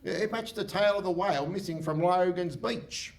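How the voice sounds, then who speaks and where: Man acting a high-voiced, bumbling police constable. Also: normal speed, creaky, far from the mic. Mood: earnest, literal. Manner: bumbling, deferential, literal. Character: dim, eager, literal-minded male police constable. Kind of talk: comic live radio theatre. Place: hall stage before live audience.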